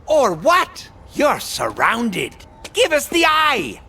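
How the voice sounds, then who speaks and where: Villain pirate, gravelly, harsh piercing tone, wide swings, sneering bluster, audio adventure. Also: male voice, extremely high pitch, huge pitch swings, slow pace, sharp tone, soft background music, exaggerated voice. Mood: menacing. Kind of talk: scripted audio adventure acting.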